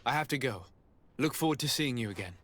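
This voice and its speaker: A man playing a composed young noble diplomat in a video game, speaking in a formal, measured and polite way with quiet resolve. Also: medium pitch, lively intonation, a little hiss or hum, lightly acted. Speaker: diplomat character. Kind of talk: video game cutscene acting.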